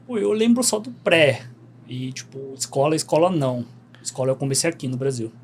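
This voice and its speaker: A young man, a podcast guest telling stories in relaxed, slangy chat. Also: mid-range voice, a little fast, a little hiss or hum. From Brazil. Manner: laid-back storytelling, slangy, animated.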